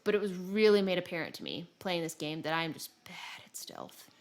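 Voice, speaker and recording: Female podcast co-host, slightly low pitch, informal and rambling, self-deprecating gamer chat. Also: sing-song, fairly quick. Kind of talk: casual chat about video games.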